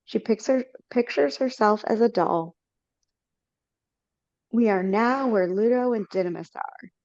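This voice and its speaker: A woman's voice: fairly high pitch, a little slow, darkish tone, no echo. Woman casually reading stage directions. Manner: casual, with slips and self-corrections. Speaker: narrator. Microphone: video call. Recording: dull lo-fi audio.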